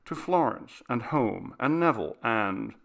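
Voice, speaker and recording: A man reading a literary ghost story aloud as an audiobook narrator, in a measured, dramatic storytelling manner. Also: medium pitch, animated, vocal fry, a bit bright.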